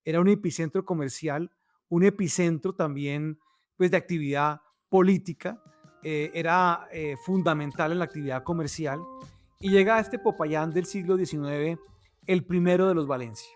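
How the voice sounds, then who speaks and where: A man's voice: a little high, moderate pace, soft background music. A man co-hosting a podcast, speaking hesitantly with many fillers and restarts. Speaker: podcast co-host. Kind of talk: casual podcast talk.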